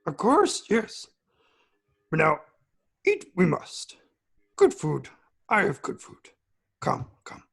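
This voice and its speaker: A woman voicing a small, playful, eccentric old alien creature in an amateur script reading, with a gravelly voice and inverted, exclaiming phrasing. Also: on the low side, wildly swooping pitch, slow pace, long pauses, a bit bright, full character voice. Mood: excited, coaxing. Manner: inverted word order, short repeated exclamations. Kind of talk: amateur script table read.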